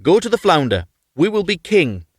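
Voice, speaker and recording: A man's voice: sits high, sing-song, normal speed, breathy edge, no room sound, clean studio sound, pitched above the performer's own voice, heavily acted. A man voicing a bossy, greedy wife in a storybook tale, demanding and commanding. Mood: imperious.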